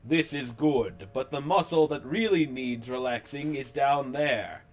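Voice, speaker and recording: Guy doing a macho cat action hero, loud n cocky, music behind. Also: mid-range voice, a little slow, lightly acted. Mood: smug, lewd. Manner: cool, swaggering one-liners.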